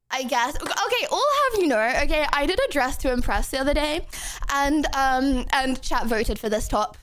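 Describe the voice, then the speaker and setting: A young woman streaming live, chatting with her viewers in a bubbly, laughing way, full of "bro" and "okay". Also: sits high. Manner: excitable, laughy, slangy with lots of "bro" and "okay".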